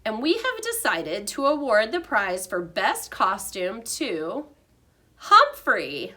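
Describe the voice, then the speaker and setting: A woman voicing a brisk, reserved female schoolteacher in a storybook, with a measured, dry manner. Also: medium pitch, wildly swooping pitch, pushed and bright, full character voice. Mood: announcing.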